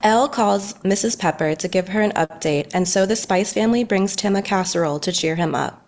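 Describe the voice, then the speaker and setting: Female narrator for a story table read, mid-pitched, reading from a script. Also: fairly quick, darkish tone.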